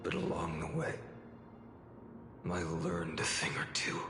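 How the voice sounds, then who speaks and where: Grim tired hero for a game, deep n slow, deadpan, echoey. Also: male voice, exaggerated voice. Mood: calm resolve. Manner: measured, solemn, deadpan. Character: grim, weary, stoic warrior man of few words. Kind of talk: video game voice acting. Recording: echoey hall, music behind.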